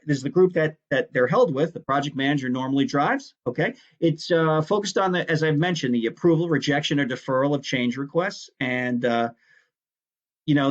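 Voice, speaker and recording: Male lecturer, fairly high voice, chatty and explanatory, self-correcting, muffled lo-fi sound. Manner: conversational, explanatory, corrects himself mid-sentence. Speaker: instructor. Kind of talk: recorded lecture on project management.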